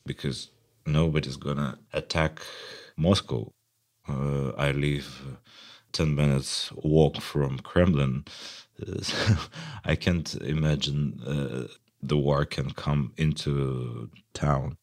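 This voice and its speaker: Very deep, slow-talking man. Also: steady tone. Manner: slow, hesitant, with fillers. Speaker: interviewee.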